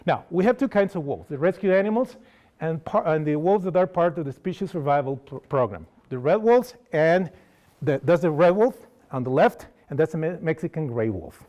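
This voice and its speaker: Man, Costa Rican Spanish accent, slightly high pitch, relaxed lecturer who quizzes the crowd. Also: moderate pace. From Costa Rica. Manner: conversational, asks the audience questions, frequent restarts. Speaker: wildlife sanctuary educator giving a public talk. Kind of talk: public lecture to an audience. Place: lecture hall.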